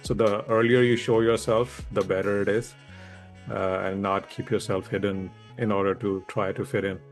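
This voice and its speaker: Lowish-voiced man. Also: little pitch movement, moderate pace, soft background music. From India. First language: Hindi. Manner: candid, reflective, laughs easily. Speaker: guest, public speaking coach. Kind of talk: conversational interview.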